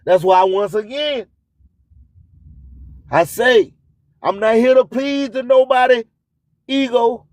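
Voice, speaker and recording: Guy radio host, high voice, talks real slow n emphatic like preaching, super dry sound. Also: darkish tone, dry recording. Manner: preachy, emphatic, repeats catchphrases. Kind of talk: slow spoken-word motivational monologue.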